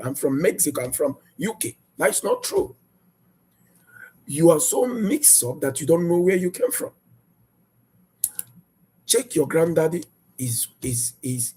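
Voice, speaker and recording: Husky guy preaching hard, voice swings way up and down, keeps firing questions at the crowd. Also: fairly high pitch, dark tone. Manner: emphatic, repetitive rhetorical questions. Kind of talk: sermon.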